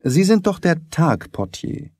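A man playing a shrewd male detective in an audiobook. He is precise, probing and self-assured, explaining his reasoning courteously. His melody is animated and the sound is dry and close. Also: on the high side, measured pace, slightly rough, no room sound, pitched above the performer's own voice, full character voice. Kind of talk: audiobook character dialogue.

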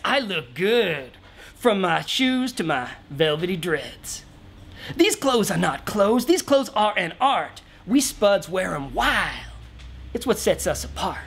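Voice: medium pitch, fairly bright tone, voice pushed up, heavily acted. A man voicing a laid-back, proud hippie shaman from a storybook, drawling and slangy.

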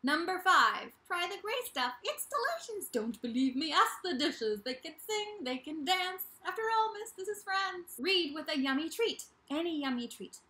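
Bubbly high-pitched young woman vlogger. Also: normal speed, few pauses. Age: young adult. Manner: bubbly, animated, playful. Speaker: YouTube host of a book channel. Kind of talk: casual vlog announcement to camera. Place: small bedroom indoors. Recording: echoey untreated room.

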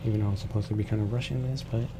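Guy doing a chill lets play, kinda froggy lowish voice, talking to himself, noisy home recording. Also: steady tone, very fast. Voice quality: slightly froggy. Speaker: gameplay streamer. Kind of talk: casual let's play commentary. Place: his room at home.

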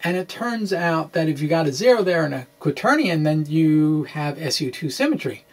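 A man in his forties presenting physics, part dramatic reading and part informal explanation, in an animated, quirky manner with hesitant asides. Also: fairly high pitch, moderate pace. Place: small indoor room with bookshelves.

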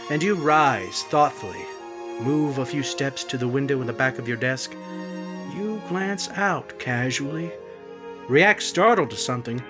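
Man narrating a hushed old radio mystery. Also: on the high side. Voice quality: hushed, ominous, second-person narration. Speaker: narrator as the Whistler. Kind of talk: 1950s radio drama narration. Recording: theme music under the voice.

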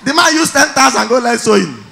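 A man in his thirties with a Nigerian accent, performing stand-up on stage with a handheld mic, telling stories loudly and shouting his punchlines. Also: very high voice, big pitch swings, brisk, gravelly, some chatter behind. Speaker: stand-up comedian. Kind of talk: stand-up comedy in Pidgin. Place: large indoor event hall on stage.

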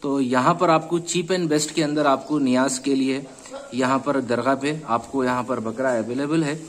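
A man's voice: medium pitch, fairly even intonation, normal speed, slight background noise. A man with a Hyderabadi accent hosting a casual on-site interview at a goat farm, chatty and repeating answers back. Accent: Hyderabadi Hindi-Urdu. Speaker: host of a goat channel.

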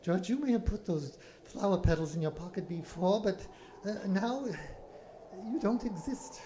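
A man's voice: sits high, expressive, moderate pace, noisy background. Man playing a mild, innocent old angel. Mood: gently explaining. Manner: gentle, literal-minded, explanatory. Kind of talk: radio play acting.

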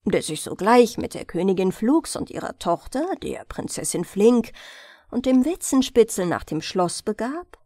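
A woman reading fairy tales as an expressive bedtime audiobook narrator. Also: mid-range voice, moderate pace, no echo, clean studio sound.

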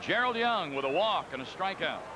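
A man calling baseball play-by-play in a calm, conversational way, reading out stats between pitches. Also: moderate pace. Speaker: play-by-play announcer. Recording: broadcast booth over loud crowd noise. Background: stadium crowd ambience.

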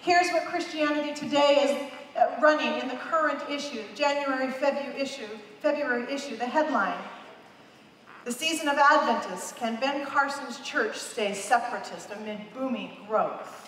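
Brief: woman pastor in her fifties, high voice, emphatic sermon, long hall reverb, heard off-mic. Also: moderate pace, a bit bright, slight background noise. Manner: emphatic, repeats phrases for emphasis, gestures widely. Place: large dark church stage. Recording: echoey hall, heard from a distance.

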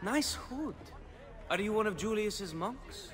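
Young Renaissance rogue, high-pitched and cocky, flippant delivery, video game drama. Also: male voice, expressive, slow pace, crowd noise. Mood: teasing. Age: young adult. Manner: cheeky, flippant, theatrical.

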